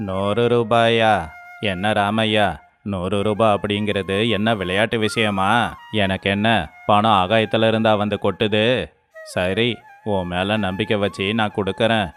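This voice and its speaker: A man's voice: on the low side, steady tone, slow pace, fairly bright tone, faint music behind. A man playing a greedy, cunning village moneylender in a moral story, sneering and domineering. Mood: sly, mocking. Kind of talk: dramatized moral story voice acting.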